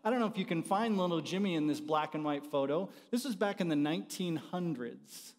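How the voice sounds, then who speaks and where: A man's voice: a little high, animated, brisk. A middle-aged American man giving an informal, conversational teaching talk to an audience, often putting questions to them. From born in the United States, raised partly in Haiti. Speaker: university dean and teacher, conference speaker. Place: large church auditorium, speaking from the front by the stage. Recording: reverberant hall sound.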